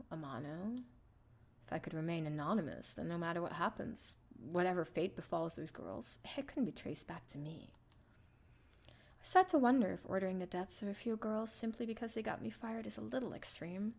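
A young woman streamer reading a visual novel aloud in an expressive, theatrical first-person narration. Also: on the low side, creaky. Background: soft game music.

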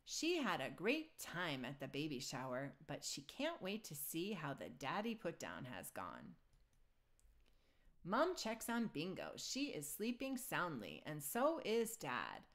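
A woman reading a children's picture book aloud in an expressive storytelling style. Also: sits low, slow.

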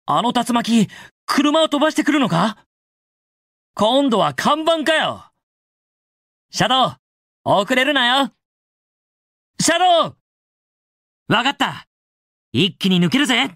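Cocky young hero hedgehog, lively delivery. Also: male voice, extremely high pitch, takes it slowly, long pauses, no room sound, studio quality, full character voice. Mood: brisk, focused. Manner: confident, casual, brash delivery. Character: cocky, upbeat, heroic young male hedgehog, cool and daring. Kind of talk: Japanese video game voice acting, short clips.

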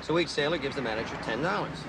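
A man's voice: fairly high pitch, animated, fast, heavy background noise. An actor playing an earnest, protective angel in human form, an American man with a placating manner, in a television drama. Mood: casual.

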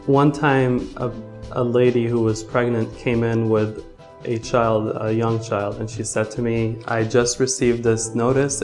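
A man's voice: medium pitch, darkish tone. A man in his twenties, originally from Lebanon, talking earnestly in an office interview with some fillers and restarts. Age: 20s. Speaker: law student volunteer. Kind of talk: interview to camera. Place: indoor office.

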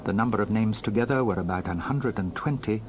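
A man's voice: on the low side, fairly quick. Solemn male narrator, some background noise. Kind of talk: scripted narration, dramatized Bible reading.

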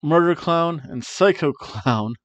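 Slow, playful man on a headset mic. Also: fairly high pitch, lively intonation, no echo. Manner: playful, rolls R's on wrestler names, hums dramatic tunes. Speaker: wrestling review host. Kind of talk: casual predictions chat to camera. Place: small home room with bookshelves, indoors. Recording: dull lo-fi dry sound.

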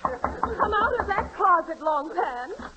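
A woman's voice: very high-pitched, sing-song, slow, lo-fi sound, heavily acted. A woman playing a fussy, indignant wealthy lady in a 1930s radio comedy sketch. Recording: hissy old radio broadcast.

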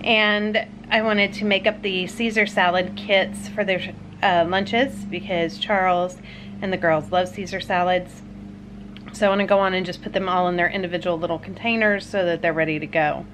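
A woman's voice: on the low side, steady tone, normal speed, background noise. A woman in her thirties, a family vlogger, giving a casual, step-by-step cooking tutorial in a chatty, upbeat way. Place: living room, then kitchen.

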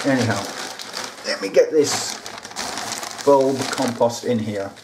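A man's voice: medium pitch, sing-song, takes it slowly, vocal fry, background noise. A man, hosting a casual gardening how-to, chatting plainly and talking straight to his viewers at home. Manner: chatty, plain-spoken, talks to viewers directly. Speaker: gardening channel host. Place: indoors at home, kitchen counter.

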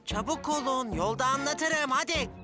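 A woman's voice: high-pitched, normal speed, natural voice. A woman voicing a bossy, overconfident young boy in a children's cartoon dub, giving orders with self-assurance. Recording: music behind the voice.

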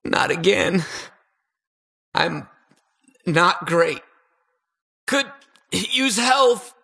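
An actor playing an eager, anxious and boastful toy-inventor hero in a video game, with a husky, slightly awkward delivery. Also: male voice, high-pitched, animated, drawn-out words, pushed and bright, full character voice. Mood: weary. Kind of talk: video game battle voice lines.

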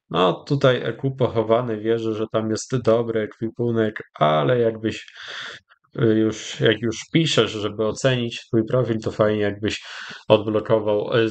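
A Polish man hosting a gaming channel, giving casual, rambling commentary with hesitant, drawn-out pauses. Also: lowish voice, darkish tone. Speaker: YouTube gaming host. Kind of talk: casual commentary over gameplay.

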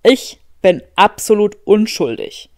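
A woman's voice: medium pitch, sing-song, slow pace, darkish tone, no echo, clean studio sound. A woman voicing a smug, sarcastic young man with a teasing drawl in an audiobook story.

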